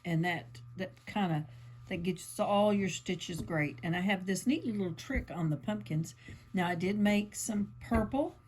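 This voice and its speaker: A woman, a little croaky from allergies, chatting her way through a casual yarn craft tutorial with lots of ums and asides. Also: lowish voice, a little slow, darkish tone, a little hiss or hum. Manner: chatty, meandering, lots of fillers. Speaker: crafting channel host.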